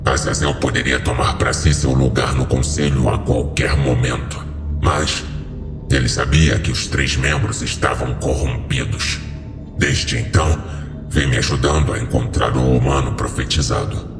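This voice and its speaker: An ancient fallen lord of demons speaks from a cavernous, long reverb. The voice is abyssally low and full of gravel, solemn and commanding, every phrase a stately pronouncement for a horror audio story. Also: male voice, fairly even intonation, moderate pace. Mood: solemn, revealing. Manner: solemn, stately, portentous delivery. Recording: heavily reverberant voice over music.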